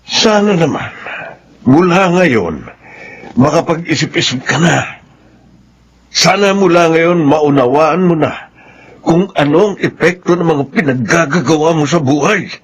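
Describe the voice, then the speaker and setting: A man's voice: on the high side, lively intonation, a little slow, long pauses, slightly rough. An actor playing a stern, disappointed father in a Filipino radio drama, with a Filipino accent. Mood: grave, disappointed. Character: stern, disappointed father of two grown sons.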